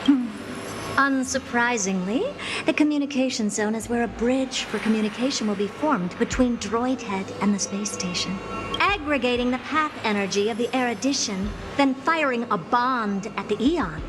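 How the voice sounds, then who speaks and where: A woman's voice: fairly high pitch, normal speed, background noise, natural voice. An actress playing an aloof, condescending woman scientist in a video game, blunt and offhand. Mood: smug explanation. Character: haughty, aloof genius woman scientist, dismissive and impatient. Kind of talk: video game character acting.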